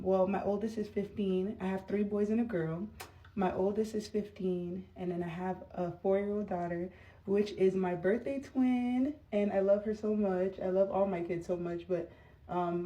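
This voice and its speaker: A woman's voice: medium pitch, fairly even intonation, moderate pace, mellow, distant mic, dull audio. A woman in her thirties chatting casually to camera while doing her makeup, rambling with lots of fillers. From United States. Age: 30s. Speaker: vlogger.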